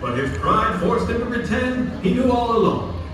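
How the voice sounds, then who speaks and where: A man narrates a scripted puppet-show story. His voice is high and very animated in intonation. It is picked up from a distance in a very echoey, noisy indoor venue. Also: normal speed.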